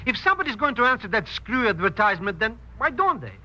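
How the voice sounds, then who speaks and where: High, sharp, excitable comic sidekick. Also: male voice, fast talker, lo-fi sound, heavily acted. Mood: grumbling. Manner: jittery, wisecracking, exclamatory. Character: excitable, grumbling comic male sidekick from New York. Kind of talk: 1940s radio acting.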